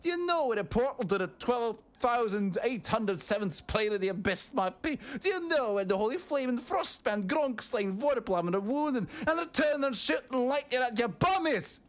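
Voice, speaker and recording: A man's voice: very high-pitched, expressive, normal speed, few pauses, pitched above the performer's own voice. A man voicing a mocking impression of an eager adventurer, mincing and mock-heroic as he rattles off questions.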